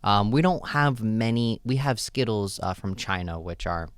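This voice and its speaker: Deep-voiced man, relaxed and chatty. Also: normal speed, clean tone, dry recording, clean studio sound. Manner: relaxed, loose, many fillers and "right?" tags. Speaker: store owner, guest. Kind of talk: casual interview answers.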